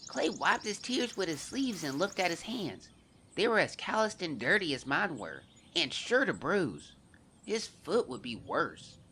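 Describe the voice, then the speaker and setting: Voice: mid-range voice, bright, forceful tone, slight background noise, heavily acted. An actor voicing a young boy who earnestly narrates events into his journal in a scripted audio drama. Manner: earnest, confiding, storytelling.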